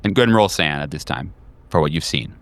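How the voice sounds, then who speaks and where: A man with a low, clean, resonant voice runs a tabletop game. He improvises scenes casually and haltingly, thinking aloud, close and dry on the microphone. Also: expressive, dry recording. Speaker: game master.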